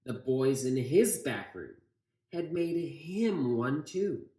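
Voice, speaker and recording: A man's voice: medium pitch, lively intonation, slow, dark tone. A man with an American accent reading a storybook aloud and talking informally to listeners. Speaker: city council member reading to children. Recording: echoey room.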